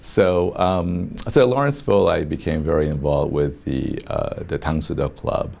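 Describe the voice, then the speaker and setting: A man in his fifties from South Korea, an attorney, telling his life story in a halting, reflective way with many pauses and soft chuckles. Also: very deep voice, creaky. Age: 50s. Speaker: attorney interviewee.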